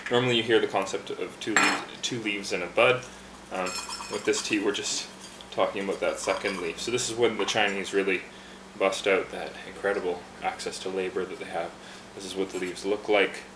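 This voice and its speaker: A man with a fairly low voice demonstrates tea brewing from behind a bar, chatty and relaxed, often pausing with fillers. The room rings with echo, and steady background noise sits under his voice. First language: English. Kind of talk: casual instructional demonstration.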